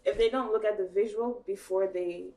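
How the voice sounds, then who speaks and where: A woman's voice: medium pitch, steady tone, normal speed, dark tone. Woman co-host asking the group questions, lots of "like", bit far off. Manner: asks questions, filler-heavy. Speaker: podcast co-host. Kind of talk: casual chat among friends.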